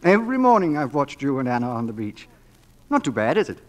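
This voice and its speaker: An actor playing a brazen, flirtatious gentleman author, with a smooth, teasing manner in period radio drama style. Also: medium pitch, very animated, fast talker, close to an everyday voice. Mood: ardent, flirtatious. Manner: smooth, teasing, mock-hesitant courtship.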